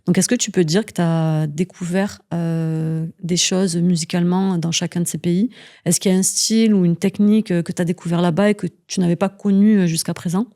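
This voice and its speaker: A woman's voice: lowish voice, steady tone, brisk, dark tone. A woman hosting an interview, asking friendly questions with hesitant fillers. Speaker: interviewer host.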